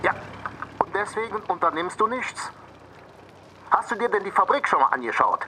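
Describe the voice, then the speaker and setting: An older father in a radio play, brusque and pressing. His fairly high voice swings widely in pitch. The sound is noisy, with clicks. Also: animated, moderate pace. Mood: impatient, brusque. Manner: curt, businesslike, pressing. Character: brusque, demanding older father, business first. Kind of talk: radio drama acting.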